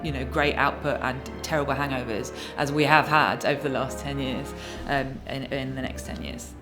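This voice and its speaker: A woman's voice: extremely low pitch, steady tone, moderate pace. A woman reflecting in an interview, hesitant as she searches for words. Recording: music playing behind the voice. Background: background music.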